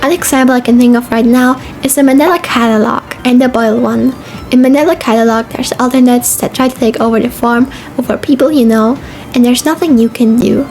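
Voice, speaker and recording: A woman's voice: a little high, fairly even intonation, fairly quick, a little mellow. A young woman giving a casual, scripted video essay narration in an informal way, sometimes stumbling over long words. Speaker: video essay host and streamer. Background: background music.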